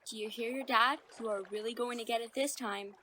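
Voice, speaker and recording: A bitter mother, played by an actress, speaks in a fairly high voice. She is blunt and sharp-tongued, scolding and bickering in the manner of a radio drama. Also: steady tone, fairly quick, a little hiss or hum. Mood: angry.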